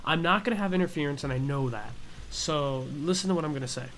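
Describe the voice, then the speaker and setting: A man's voice: on the high side, quick pace, fairly bright tone, slight background noise. A man giving a casual flight simulator tutorial, explaining each step in an offhand, hesitant way. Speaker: gaming tutorial host.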